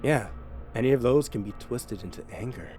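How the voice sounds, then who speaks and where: An actor plays a proud, boastful god-king in an audio drama, formal and grandiose. His pitch swings in lively rises, and music plays clearly under him. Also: moderate pace, close to an everyday voice. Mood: calm.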